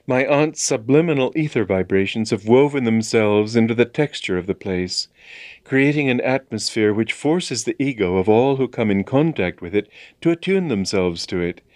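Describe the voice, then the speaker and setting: A man's voice: medium pitch, darkish tone, no room sound, clean studio sound, close to an everyday voice. A man playing a fretful young male bachelor writer in a storybook reading, earnest and easily alarmed.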